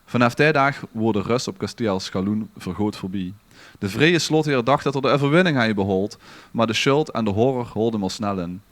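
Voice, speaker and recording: A man's voice: lowish voice, a little fast, few pauses, no echo. A man with a Limburgish Dutch accent reading a scripted story aloud in a theatrical, literary manner as a podcast storyteller. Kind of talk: dramatic storytelling read from a script.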